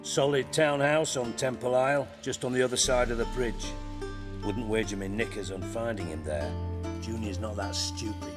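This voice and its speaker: An actor playing a cunning, middle-aged ex-spymaster turned crime boss in a video game, gruff and blunt, with a wry, salty tongue. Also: male voice, medium pitch, moderate pace, lightly acted. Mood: measured, wry. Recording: reverberant hall, music behind.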